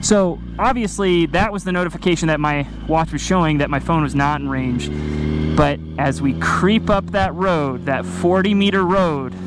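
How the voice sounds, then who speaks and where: A man telling a personal travel story in a casual, conversational way, acting out quotes with emphatic pauses. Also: fairly high pitch. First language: English. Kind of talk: animated first-person storytelling, casual chat. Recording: noisy outdoor recording with vehicle sounds.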